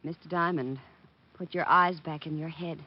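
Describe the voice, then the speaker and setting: An actress playing a feisty, plain-spoken young woman, husky-voiced, in 1940s radio drama. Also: low voice, steady tone, moderate pace. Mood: anxious, pleading. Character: feisty, plain-spoken young female nightclub photographer, anxious client. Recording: dull old radio recording.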